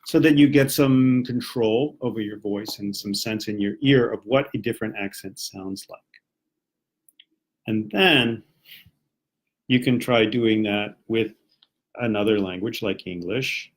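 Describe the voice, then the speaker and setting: A man's voice: fairly low pitch, unhurried. A young man with a General American accent from Washington, D.C., teaching English on a live stream in a friendly, chatty way with many rhetorical questions. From Washington, D.C., United States.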